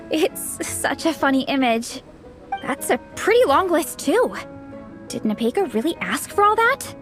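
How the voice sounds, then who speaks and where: Upbeat girlish hero-in-training, game role: high-pitched, lively intonation, gushing encouragement. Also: a little slow, pauses often, heavily acted. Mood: amused. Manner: gushing, animated, giggly. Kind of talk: video game voice acting. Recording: music behind the voice.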